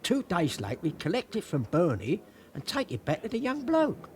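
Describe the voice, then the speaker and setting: An actor playing a cagey small-time police informant in a radio drama, evasive yet confiding. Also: male voice, high voice, huge pitch swings, normal speed. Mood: insistent.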